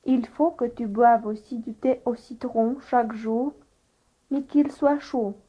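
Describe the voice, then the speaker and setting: Woman reading a lesson dialogue slow and careful, sounds tinny like a phone. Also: a little high, fairly even intonation, slightly rough. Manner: slow, careful delivery, plays both patient and doctor. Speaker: narrator reading language-learning dialogues. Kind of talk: scripted dialogue reading for learners.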